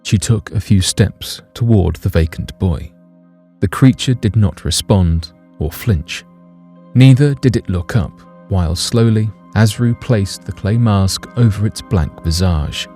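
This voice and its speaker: Male narrator for an audio drama, dark low voice, literary storytelling, light music bed. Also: unhurried.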